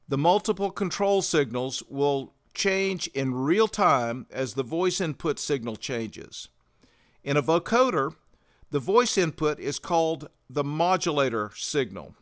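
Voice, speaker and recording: A man's voice: a little high, fairly bright tone, clear, resonant tone. A man presenting a tutorial in methodical, scripted explanatory narration. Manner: methodical, instructional.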